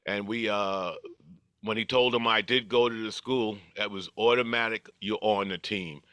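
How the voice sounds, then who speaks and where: A man's voice: on the low side, steady tone, moderate pace, bright, forceful tone, smooth, no echo. An older man telling stories from his life as a podcast guest, casual, chuckling through anecdotes and acting out dialogue. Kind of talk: casual reminiscing storytelling.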